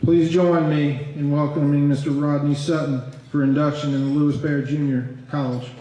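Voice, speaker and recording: A man's voice: a little high, normal speed. A man presenting at a ceremony, steadily reading an inductee's credits and achievements. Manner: steady, reads a list of credits. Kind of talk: reading a formal introduction. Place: large banquet hall. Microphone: podium microphone. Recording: very echoey hall over a PA.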